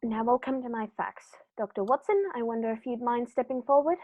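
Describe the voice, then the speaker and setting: A woman playing a composed, courteous male detective in a 1940s-style radio play, speaking in a measured, formal way. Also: on the high side, natural voice. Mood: composed, assertive. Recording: dull, noisy lo-fi home recording.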